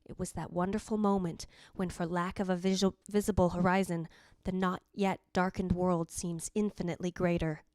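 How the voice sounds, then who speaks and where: A woman's voice: fairly low pitch, flat delivery, darkish tone, dry recording, close to an everyday voice. A woman playing the jealous, anguished ghost of a dead wife, narrating in a literary, theatrical old-time radio drama style. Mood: wistful. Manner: literary, theatrical, deliberate delivery.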